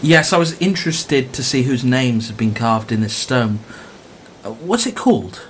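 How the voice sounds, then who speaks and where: Man playing quiet wartime soldier, reflective, terse, dutiful, radio play, mid-range voice. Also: mid-range voice, animated, normal speed, slight background noise, close to an everyday voice. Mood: guarded. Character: quiet, dutiful First World War soldier recalling his life. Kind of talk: radio drama acting, reflective narration.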